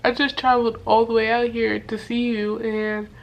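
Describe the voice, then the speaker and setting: A young woman with a voice worn hoarse from sickness, telling a story to camera in a loose, slangy way full of fillers and swearing. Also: fairly high pitch, flat delivery, normal speed, lo-fi sound. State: hoarse from being sick. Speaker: vlogger. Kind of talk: casual storytime chat to camera.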